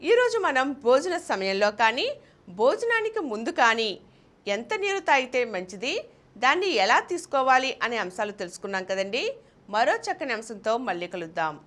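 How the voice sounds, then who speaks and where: A woman presents a scripted health explainer. Her voice sits fairly high and moves up and down in a very lively way as she reads out each point. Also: normal speed.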